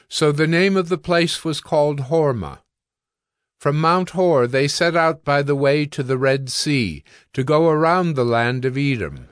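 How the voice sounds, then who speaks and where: A man narrating scripture from a script in a measured, solemn storytelling manner. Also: on the high side, dry recording, clean studio sound. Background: soft music.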